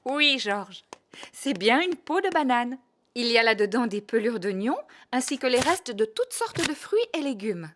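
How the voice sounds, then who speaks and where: A woman voicing a kindly, patient mother pig who explains things gently in a children's cartoon. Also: a little high, sing-song, moderate pace, slight background noise. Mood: patient, motherly.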